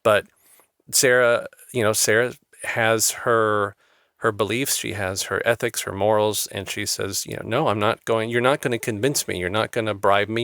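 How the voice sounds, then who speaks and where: A man's voice: lowish voice, a bit bright, clear, resonant tone, no room sound, studio quality. A man hosting an interview, talking in an earnest, rambling way and often repeating words. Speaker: interviewer, host. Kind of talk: conversational interview.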